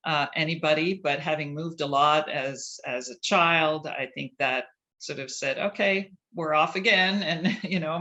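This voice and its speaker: A man's voice: fairly high pitch, pushed and bright. A man from Canada answering interview questions about his career, thoughtful and easygoing, with frequent fillers and restarts. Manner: thoughtful, many fillers and restarts, laughs lightly. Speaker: interview guest, chief IP counsel.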